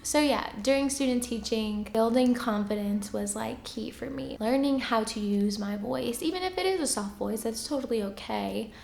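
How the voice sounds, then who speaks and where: Soft-voiced young woman, chatty. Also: on the high side, normal speed, gravelly, hardly any pauses, reverberant, slight background noise. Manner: chatty, laughs often, lots of fillers. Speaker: teacher answering viewer questions. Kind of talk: casual Q&A chat.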